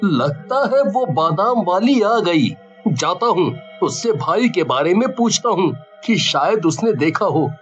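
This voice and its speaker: High-pitched, earnest young man played by an actor. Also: very animated, slow pace, lo-fi sound, soft background music, heavily acted. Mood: worried, pleading. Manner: earnest, dramatic. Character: worried, determined young man seeking his missing brother. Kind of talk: cartoon horror story acting.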